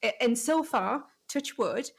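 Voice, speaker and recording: Chatty woman with a gravelly edge. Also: a little high, expressive, slow pace. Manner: chatty, exclamatory. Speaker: podcast host. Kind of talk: casual podcast chat.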